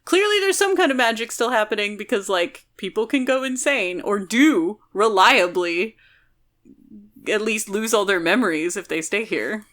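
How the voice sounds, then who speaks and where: Bright-voiced woman chatting about books. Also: medium pitch, expressive, moderate pace. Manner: opinionated, argues points at length. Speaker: podcast co-host. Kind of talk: casual book discussion.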